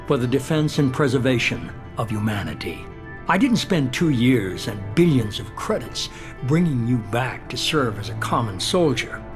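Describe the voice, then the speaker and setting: Male actor as calculating shadowy sci-fi leader: composed, measured authority, persuasive, score playing underneath. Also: mid-range voice, expressive, natural voice. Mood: cool, composed. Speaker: video game character. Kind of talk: video game voice acting. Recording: loud background music. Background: game music.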